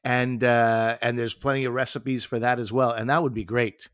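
A man's voice: medium pitch, little pitch movement, normal speed, a bit bright, dry recording, studio quality. A man co-hosting a casual food chat, teasing and enthusiastic. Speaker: podcast co-host.

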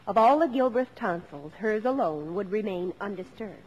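An actress playing a wry grown daughter narrating family memories in a 1950s American radio drama, deliberate and storylike. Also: female voice, mid-range voice, lively intonation, darkish tone, husky, highs cut off.

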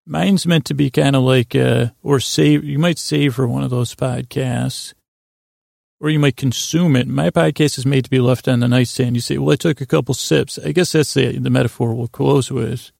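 A man speaks in a deeply gravelly, fry-laden voice that barely moves in pitch. He rambles like a bedtime podcast host, halting and wandering between half-finished thoughts. The recording is dry and close, with no room to it. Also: steady tone, darkish tone, no echo. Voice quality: gravelly, creaky. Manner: meandering, halting, trailing off mid-thought.

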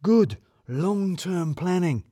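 A man's voice: sits high, expressive, slow pace, dark tone, no echo, studio quality, pitched above the performer's own voice, exaggerated voice. A man voicing a jittery, self-important male office manager who hisses and talks melodramatically. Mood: manic. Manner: melodramatic, hissing, manic.

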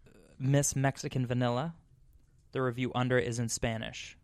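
A man's voice: medium pitch, level tone, normal speed, dry recording. A man hosting a casual podcast, playfully teasing his guest while reading beer reviews aloud. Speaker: podcast host.

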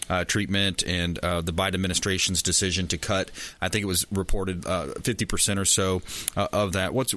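A man hosting a consumer talk radio show, interviewing a guest in a brisk, agreeable manner with frequent fillers. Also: low-pitched, fairly bright tone.

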